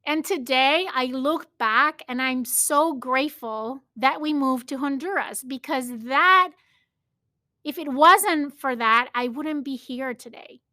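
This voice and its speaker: A woman's voice: sits high, takes it slowly, pushed and bright, no echo, studio quality. A middle-aged woman from Spain with a Spanish accent, hosting a solo podcast in earnest, storytelling talk full of "like" fillers. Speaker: podcast host.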